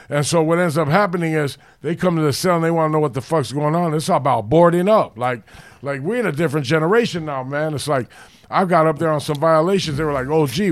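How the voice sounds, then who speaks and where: A middle-aged man from Los Angeles with a deep, loud voice, telling blunt street stories full of swearing in a casual chat. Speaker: podcast co-host.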